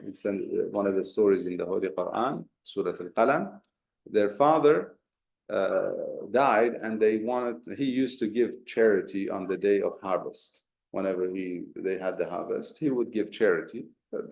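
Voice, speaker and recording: A man's voice: fairly low pitch, vocal fry, darkish tone. A man teaching a Quran commentary class, explaining step by step with rhetorical questions and Arabic terms. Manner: mixes Arabic terms into talk. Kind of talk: explanatory religious lesson. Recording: echoey room.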